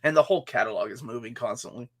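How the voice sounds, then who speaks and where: A man's voice: medium pitch, rapid-fire, slightly rough. A young man co-hosting a casual comics livestream, friendly and full of fillers and restarts. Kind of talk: casual chat.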